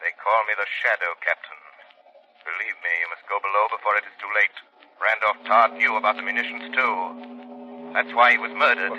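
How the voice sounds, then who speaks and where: Unseen radio-mystery avenger; commanding, deep-set yet cutting, on a muffled vintage broadcast. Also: male voice, steady tone, fairly quick, bright, forceful tone. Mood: grave, urgent. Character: invisible avenging man, commanding, taunting, relentless voice. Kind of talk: 1930s radio mystery acting. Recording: dull vintage 1938 radio recording.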